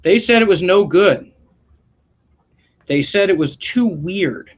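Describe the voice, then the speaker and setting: Male read-aloud storyteller, principal type, higher-pitched voice with lively, expressive swings. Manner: expressive, deliberate storytelling delivery. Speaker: school principal reading to students. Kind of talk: live picture-book read-aloud with asides.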